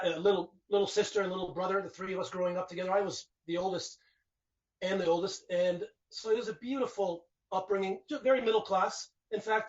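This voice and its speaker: A man in his forties, raised speaking Finnish in Canada, reflecting at home in a casual interview with rambling restarts. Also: sits high. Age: 40s. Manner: rambling and reflective, frequent restarts and "you know". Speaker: guest. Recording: distant, dull lo-fi mic.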